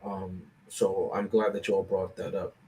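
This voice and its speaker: A man's voice: low voice, flat delivery, normal speed, warm tone, a little hiss or hum, poor audio. A man speaking as a panelist on a film review show, in casual, analytical discussion, often checking in with "right?".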